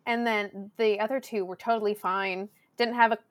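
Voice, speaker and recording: A woman from Southern California, a podcast guest chatting casually and acting out quotes in a loud, lively way. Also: medium pitch, normal speed. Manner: loud, animated storyteller who acts out quotes.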